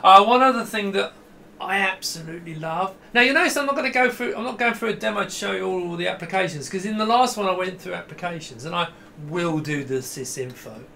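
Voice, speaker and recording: A man's voice: sits high, quick pace, creaky, sharp tone, slight background noise. A middle-aged man giving a casual, enthusiastic hands-on demo to camera, rambling and often breaking off mid-sentence. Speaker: host demonstrating a vintage computer. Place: small indoor home room with computer gear.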